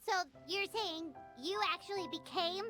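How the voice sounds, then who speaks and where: A woman's voice: extremely high pitch, measured pace, sharp tone, soft background music, heavily acted. A woman voicing a tiny, food-loving female fairy companion who talks about herself in the third person. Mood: eager. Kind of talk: game cutscene voice acting.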